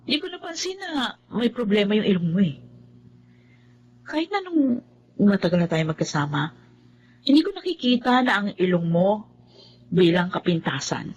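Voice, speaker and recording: An actress playing a calm young woman who gently and honestly speaks her mind to a friend. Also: medium pitch, normal speed, pauses often, lo-fi sound. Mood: earnest. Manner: gentle, earnest.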